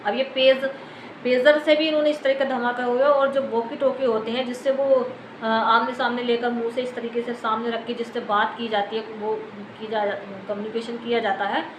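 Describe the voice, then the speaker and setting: High-voiced Indian woman in an echoey, noisy room. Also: little pitch movement, normal speed. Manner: explanatory, wordy, repetitive, addressing viewers. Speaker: YouTube news commentator. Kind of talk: unscripted news commentary. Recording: echoey room, clear background noise.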